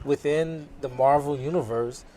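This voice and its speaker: A man's voice: mid-range voice, normal speed, dark tone, slight background noise. A man on a fan panel speaking casually and asking questions.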